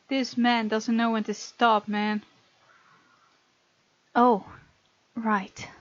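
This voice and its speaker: A woman reads game text aloud in a fairly high voice, switching between narration and casual, playful reactions. She is close to the microphone in a dry space. The sound is a little dull, like a home recording. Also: flat delivery, drawn-out words, dry recording. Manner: playful, reactive, breaks off to comment and giggle. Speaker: gaming video host.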